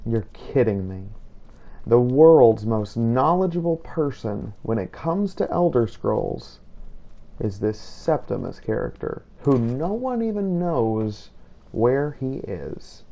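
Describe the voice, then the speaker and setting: Man, medium pitch, noticeable vocal fry, in-character game narration, measured first-person storytelling. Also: big pitch swings, warm tone. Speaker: video game player and narrator.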